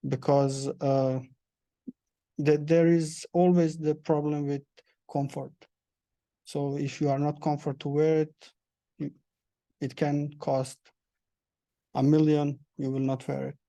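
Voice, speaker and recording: A young man from Bosnia, speaking as a panel guest with many pauses and restarts. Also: on the high side, level tone, warm tone, no room sound. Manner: halting, many hesitations and restarts. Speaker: panel guest, prosthesis user. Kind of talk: panel discussion answers.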